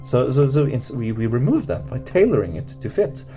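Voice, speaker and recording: A man teaching meditation off the cuff, rambling with many fillers and restarts and lifting his pitch at the end of questions. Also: very animated, moderate pace, warm tone. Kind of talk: unscripted teaching talk. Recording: music playing under voice. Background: guitar music.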